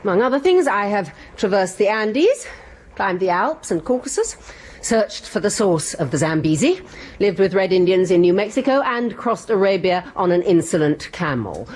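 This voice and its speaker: An actress playing a brisk, independent Victorian lady explorer with an English accent and a commanding, haughty manner in a radio comedy-adventure. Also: mid-range voice, very animated, darkish tone. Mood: proud, brisk. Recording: noisy radio broadcast with ambient effects.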